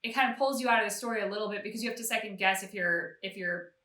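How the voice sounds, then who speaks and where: Bright, echoey woman in casual discussion. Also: medium pitch, very fast. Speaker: co-host of a reaction show. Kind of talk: casual discussion of a game story. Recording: roomy, echoey home-recorded sound.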